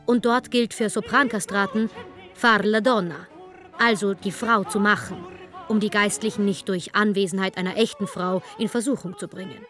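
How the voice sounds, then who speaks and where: A woman's voice: medium pitch, steady tone, normal speed, a bit bright. Wry woman narrator over music. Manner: wry, sardonic. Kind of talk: scripted documentary narration for radio.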